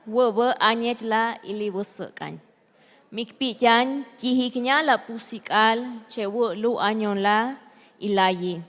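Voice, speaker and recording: Slow-paced Mexican woman announcing in echoing hall. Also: on the high side, recorded from a distance. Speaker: master of ceremonies. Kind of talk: formal ceremonial event announcing. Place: large event hall. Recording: very echoey hall, amplified, faint noise.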